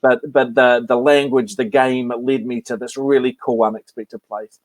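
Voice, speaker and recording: A man's voice: mid-range voice, flat delivery, no echo. Eager, joking man on a dry video call. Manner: eager, self-mocking, quick to joke. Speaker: improv instructor. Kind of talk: casual interview about his class.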